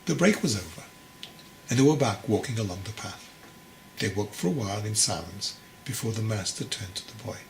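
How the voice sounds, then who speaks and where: A man reading his own book as an audiobook, in a deliberate, phrase-by-phrase narration. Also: on the low side, lively intonation, roomy sound. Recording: clearly noisy recording.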